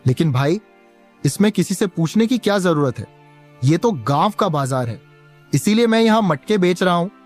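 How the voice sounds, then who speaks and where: A man's voice: sits high, animated, normal speed, faint music behind. A man voicing a poor, patient village pot seller and father in a cartoon, earnest and plaintive. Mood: protesting. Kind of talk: Hindi cartoon story dialogue.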